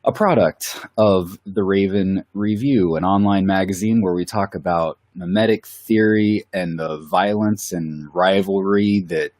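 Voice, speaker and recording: A man's voice: low voice, measured pace, hardly any pauses, darkish tone, no echo, studio quality. A man with a cold, co-hosting a Bible study in casual, enthusiastic chat. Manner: enthusiastic, with filler words and laughs. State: has a cold.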